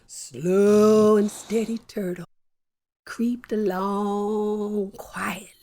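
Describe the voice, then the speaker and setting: A woman hosting a children's story show, telling a folktale with a lively, playful delivery and drawn-out phrasing. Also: medium pitch. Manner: warm animated storyteller with slow deliberate pacing. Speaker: storyteller host. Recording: soft music bed behind.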